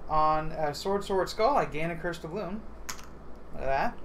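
A man's voice: a little high, unhurried, fairly bright tone. Man hosting a board game, faint noise. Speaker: host teaching a board game. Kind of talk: casual game chat and instruction. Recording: faintly noisy livestream room recording.

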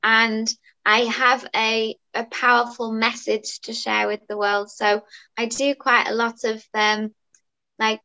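A bright-voiced woman in her thirties, talking in a chatty, upbeat way full of small fillers. Her voice comes over a dull, lo-fi video call, a touch above the usual pitch for a woman. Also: flat delivery, slow, vocal fry. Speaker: guest singer. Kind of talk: casual interview chat.

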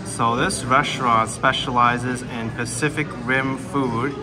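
A man's voice: mid-range voice, fairly even intonation, measured pace. A man vlogging a meal at a roadside restaurant, tasting dish after dish and savoring each bite with enthusiastic remarks. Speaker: food vlogger. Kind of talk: casual food vlog narration. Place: roadside restaurant in a hot desert town.

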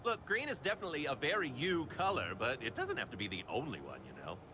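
A man's voice: on the high side, wildly swooping pitch, quick pace, background noise. An actor playing a flamboyant, flippant male rogue in a video game, wry and theatrical.

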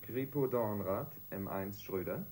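An actor playing a polite, understated junior police detective in a radio crime drama. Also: male voice, on the low side, animated, a little slow, a little mellow. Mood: tentative.

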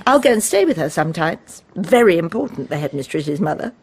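A woman's voice: lowish voice, very animated, a little fast, darkish tone, husky, heavily acted. An actress playing a proud, fond, domineering middle-aged mother in a period radio play, with a grand, fussing manner. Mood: smug, amused.

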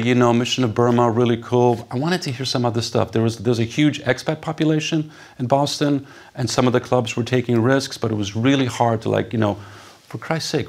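A man in his fifties from Poland, whose first language is Polish, giving an animated, conversational stage talk with many fillers to a seated audience. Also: mid-range voice, vocal fry. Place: stage before a seated audience in a dark hall.

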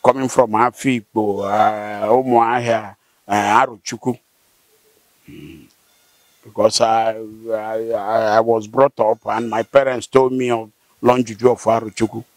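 An older man with a southern Nigerian accent, explaining local history conversationally and haltingly, with affirming hums. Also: fairly low pitch, level tone, no room sound. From Rivers State, southern Nigeria. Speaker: interviewee, local elder. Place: outdoors, seated by corrugated metal fence. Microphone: handheld interview mic held by host.

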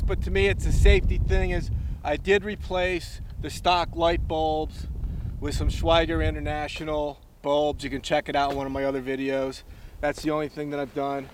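A man's voice: fairly high pitch, slight background noise. A man giving an enthusiastic, plainspoken review outdoors on a snowy farm, talking casually and a bit rambling. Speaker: snowmobile reviewer.

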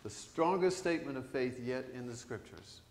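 An older man, a priest, preaching a conversational sermon to his congregation, animated and asking them questions. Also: mid-range voice, normal speed. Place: large church sanctuary. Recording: echoey church sanctuary.

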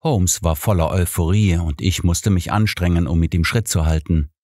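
A man's voice: low-pitched, moderate pace, a little mellow, dry recording, clean studio sound. A man reading scripted narration of a detective story as an audiobook narrator.

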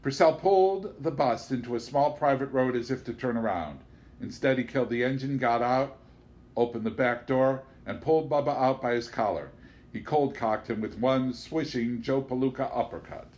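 A man's voice: medium pitch, normal speed, darkish tone. A man reading a comic literary novel aloud as scripted audiobook narration. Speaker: audiobook reader.

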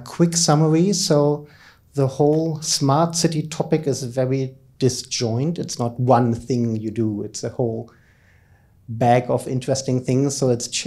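A man's voice: medium pitch, mellow. A middle-aged man giving a keynote talk in an informal, explanatory manner, speaking into a handheld microphone in a conference room. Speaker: keynote speaker, academic researcher. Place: conference lecture room, indoors, at a lectern.

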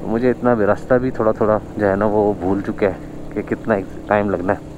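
A man's voice: on the low side, fairly even intonation, a little fast, highs cut off, background noise. A man chatting casually while riding a motorbike outdoors on a snowy mountain road, marveling at the scenery and chuckling often. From Pakistan. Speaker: vlogger. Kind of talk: casual motorbike ride commentary.

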